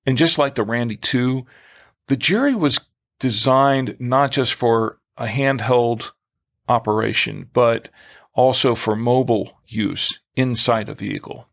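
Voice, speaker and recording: Man with a creaky, gravelly voice. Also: medium pitch, measured pace, fairly bright tone. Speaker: podcast co-host. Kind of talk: conversational product discussion.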